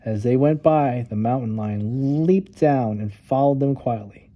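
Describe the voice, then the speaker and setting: A man narrating a children's storybook with expressive, dramatic emphasis on key words. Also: mid-range voice, a little slow, dull audio. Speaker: storytime reader.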